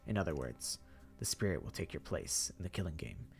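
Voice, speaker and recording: A man voicing a polite, formal zombie mastermind who speaks in a slow, measured and softly menacing way. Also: low voice, big pitch swings, vocal fry, faint music behind, voice pushed down, full character voice. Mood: calm, courteous. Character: courtly, eerily polite male zombie mastermind.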